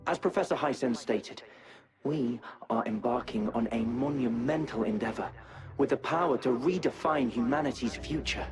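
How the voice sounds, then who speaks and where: An actor playing an obsessed, embittered research scientist in a video game, earnest and intense. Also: male voice, medium pitch, natural voice. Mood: fervent, bitter. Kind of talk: dramatic video game voice acting. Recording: loud music behind the voice.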